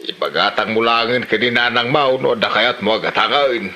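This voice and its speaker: A man's voice: mid-range voice, normal speed, pushed and bright, slight background noise. A man acting a rural father in an Ilocano comedy drama, with an Ilocano accent. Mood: chiding, questioning.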